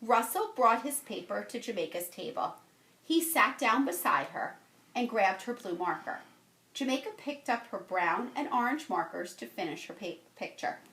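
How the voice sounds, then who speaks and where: Female storyteller for a children's picture book. Higher-pitched, very animated read, recorded in an echoey room. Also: moderate pace. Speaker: teacher reading a picture book aloud. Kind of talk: expressive children's read-aloud. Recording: roomy, echoey home-style recording.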